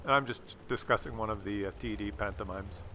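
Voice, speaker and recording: A man's voice: fairly low pitch, fairly quick, pushed and bright. A man hosting a gaming video with casual, wry and sarcastic replay commentary. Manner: wry, sarcastic, teasing, crude joking. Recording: faint game noise behind voice.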